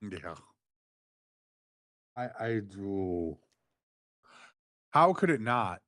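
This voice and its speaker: A man's voice: on the low side, very animated, drawn-out words, a bit bright, no echo, studio quality. A man co-hosting a wrestling review, reading out news and backing up his co-host with short agreeable replies. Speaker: podcast co-host.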